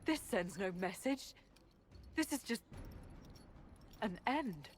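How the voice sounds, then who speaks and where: An actress playing a guilt-ridden female senior warden in a video game, speaking gravely and with resignation. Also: mid-range voice, very animated, takes it slowly, slight background noise. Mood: remorseful.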